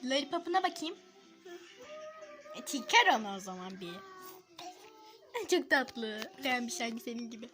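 A woman with a very high, girlish pitch talks casually as a family vlogger. She chats to viewers and a little child in turn, with clear music playing behind her. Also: animated, normal speed, pauses often, fairly bright tone. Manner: chatty, addressing viewers and a small child in turn. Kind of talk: casual home vlog chat. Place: at home, in front of a television.

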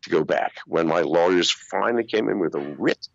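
An older man telling stories in an interview over a video call, swearing freely and talking in an animated way. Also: low-pitched, moderate pace, creaky, muffled recording, a little hiss or hum. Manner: profane, animated storytelling with laughs. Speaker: interview guest. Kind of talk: casual interview storytelling. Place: small room with acoustic foam walls. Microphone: video call wearing over-ear headphones.

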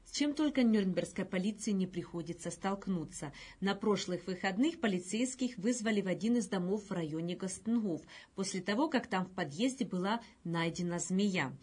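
A middle-aged woman presenting scripted news in a studio, with a slightly husky voice. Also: mid-range voice, a little mellow. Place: indoor video news studio.

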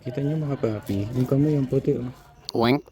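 A man's voice: mid-range voice, moderate pace, warm tone. A man with a Filipino accent hosting a vlog, chatting casually and giving teasing, joking running commentary. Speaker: vlog host. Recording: music playing behind.

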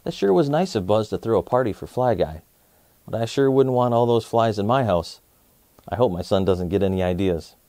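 A man's voice: lowish voice, lively intonation, fairly quick, warm tone, no room sound. A man in his thirties hosting a children's story channel and narrating a picture book. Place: small indoor set, dry sound.

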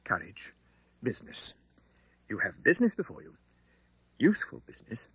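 Man acting a fussy, animated elderly banker. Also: medium pitch, normal speed, slightly rough, no echo, full character voice, rough recording. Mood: agitated, urging. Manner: hesitant, stammering, formal and businesslike. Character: fussy, flustered, kindly elderly English male banker. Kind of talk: dramatised audiobook reading.

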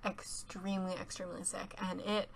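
A woman's voice: medium pitch, little pitch movement, moderate pace, vocal fry, breathy edge. A teenage girl chatting over a voice-over, rambling and giggly, stuffed up from a cold. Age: teens. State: congested from a cold. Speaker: YouTuber. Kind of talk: casual voice-over chat. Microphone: home voice-over mic.

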